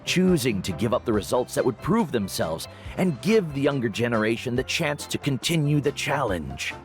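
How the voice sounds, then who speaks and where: An actor playing a veteran guide and teacher who speaks in a measured, reflective way in game dialogue. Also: male voice, mid-range voice, big pitch swings, lightly acted. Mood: steady. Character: older male guide mentor, calm and wise. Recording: game audio with faint music.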